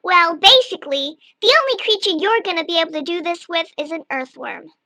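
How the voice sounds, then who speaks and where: A woman's voice: very high voice, animated, brisk, bright, forceful tone, dry recording, clean studio sound. A woman casually reading a how-to guide aloud in a mock-instructional manner. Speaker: narrator reading an online text aloud.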